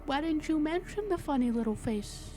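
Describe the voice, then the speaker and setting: A woman's voice: high-pitched, animated, moderate pace, a little mellow. A woman player casually improvising in character during a tabletop roleplaying game.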